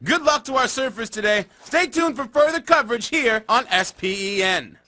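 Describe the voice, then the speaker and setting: A man voicing an excitable penguin surf reporter in a video game, delivering dramatic sports news like a surfer dude. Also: very high voice, normal speed, heavy background noise. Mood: dramatic hype. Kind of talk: TV sports news broadcast parody. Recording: loud music behind voice.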